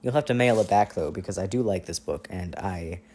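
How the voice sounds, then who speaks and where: Low-voiced, affectionate boyfriend played by a man. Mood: earnest, chatty. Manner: soft teasing, chuckling, hesitant pauses. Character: affectionate, playful, cheesy long-distance boyfriend on a phone call. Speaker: audio roleplay performer. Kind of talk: intimate ASMR boyfriend roleplay, casual chat.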